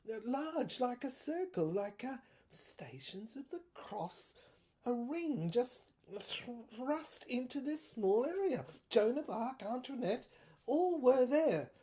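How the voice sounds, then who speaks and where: A woman playing a haunted, bewildered officer in a dramatic monologue, stammering through visions in halting fragments and drifting into breathy whispers. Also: a little high, mellow. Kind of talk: dramatic first-person monologue.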